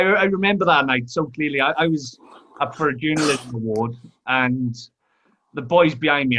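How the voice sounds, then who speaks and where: A man's voice: medium pitch, a bit bright. A Welsh man hosts a casual podcast conversation. He steers with friendly leading questions and slips in his own anecdotes. The audio is slightly dull and lo-fi. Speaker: podcast host.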